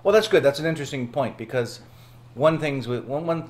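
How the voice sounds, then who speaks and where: A man's voice: medium pitch, animated, fairly quick. A man who is a guest on a panel talk show, speaking in an enthusiastic way with some hesitant fillers. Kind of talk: casual panel discussion.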